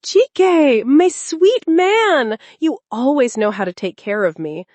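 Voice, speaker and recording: A woman's voice: high-pitched, animated, takes it slowly, a little mellow, no echo, voice pushed up. A woman voicing a flirtatious, demanding mistress in a storybook tale, coaxing and scornful. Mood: gushing.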